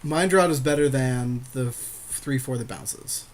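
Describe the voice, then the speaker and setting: A man's voice: mid-range voice, unhurried. A man co-hosting a gaming podcast, chatting informally with his partner about card plays, with some swearing. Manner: informal, profane banter. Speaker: podcast co-host playing an online card game. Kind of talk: casual game commentary, chat with partner.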